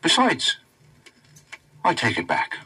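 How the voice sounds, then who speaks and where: A man's voice: fairly high pitch, wildly swooping pitch, takes it slowly, sharp tone, slightly rough, pitched above the performer's own voice, exaggerated voice, rough recording. A man voicing a sly, unruffled goat with casual colloquial speech in a storybook reading. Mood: amused, unruffled.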